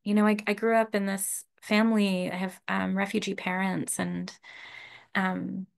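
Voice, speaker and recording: Woman, gravelly, mid pitch, relaxed teaching talk, frequent laughs. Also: moderate pace. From Canada. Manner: relaxed, laughs often, many fillers. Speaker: meditation teacher. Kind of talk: casual dharma talk.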